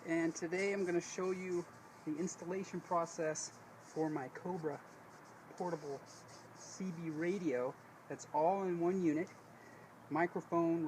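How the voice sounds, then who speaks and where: A man's voice: fairly high pitch, darkish tone. Folksy American man, noisy on-location audio. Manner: folksy, rambling, self-correcting. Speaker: host of a DIY car installation video. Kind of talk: casual how-to demonstration. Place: outdoors beside a vehicle.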